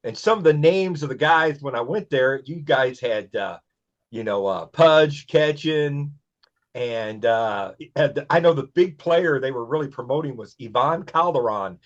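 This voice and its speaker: A man's voice: medium pitch, animated, a little slow. A man of sixty chatting casually as a podcast co-host, folksy and rambling, on a dull lo-fi recording. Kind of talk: casual chat, reminiscing. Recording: dull lo-fi sound.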